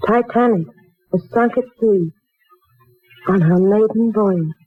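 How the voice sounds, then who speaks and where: A woman's voice: mid-range voice, slow, lightly acted. An actress as an uneasy young woman, tinny old radio. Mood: grave, searching. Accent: American. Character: uneasy, earnest young working woman named Mary. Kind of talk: 1940s radio acting. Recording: muffled, tinny 1940s radio recording with music.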